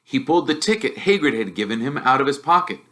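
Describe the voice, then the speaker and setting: A man reading a story aloud as expressive scripted narration. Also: mid-range voice, fast. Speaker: storybook reader.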